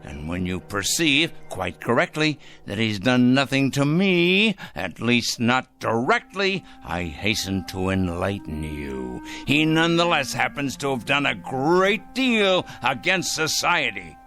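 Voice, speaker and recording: An actor in a radio drama playing an obsessive, self-righteous crank, accusing and condescending, with pompous, fussy diction and muttered repetitions. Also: male voice, medium pitch, big pitch swings, a little slow, hardly any pauses, sharp tone, soft background music, exaggerated voice.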